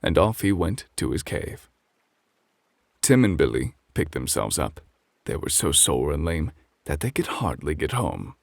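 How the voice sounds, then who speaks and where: Man as calm refined gentleman, ASMR bedtime reading, gentle, measured, creaky, expressive intonation. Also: very deep voice, dry recording, studio quality, full character voice. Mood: soothing. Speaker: ASMR storyteller. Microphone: close microphone.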